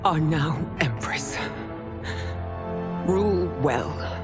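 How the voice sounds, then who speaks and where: An actress playing a dying, gentle empress mother in a fantasy game, weak and resigned. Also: low voice, lively intonation, extremely slow, loud music behind the voice, full character voice. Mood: tender, resigned. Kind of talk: dramatic video game acting.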